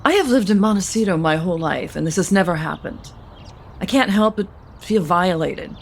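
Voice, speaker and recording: A woman's voice: fairly low pitch, animated, moderate pace, vocal fry, a little hiss or hum. An actress playing a protective, meddling, middle-aged mother of a grown son in a naturalistic audio soap opera. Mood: shaken, indignant.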